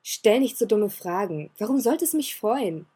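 A woman's voice: mid-range voice, sing-song, moderate pace, dark tone, husky. A woman voicing a cold, sulky young earl who speaks curtly and sarcastically.

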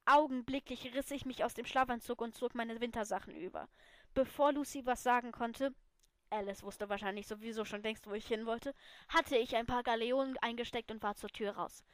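Woman, amateur storyteller, higher voice, expressive first-person narration, dry close sound. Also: brisk, no room sound. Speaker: amateur author reading own fan fiction.

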